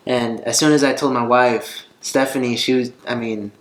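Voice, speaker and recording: A man's voice: medium pitch, fairly even intonation, moderate pace. A man vlogging in a casual, conversational and earnest way, telling a personal story. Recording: roomy echo, faint guitar music behind.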